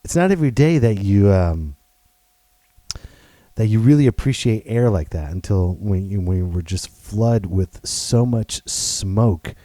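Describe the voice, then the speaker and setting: A man hosting his own podcast, talking loud and playful in a rambling way with many restarts. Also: fairly low pitch, animated, a little slow, no echo. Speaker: podcast host. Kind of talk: casual solo podcast monologue.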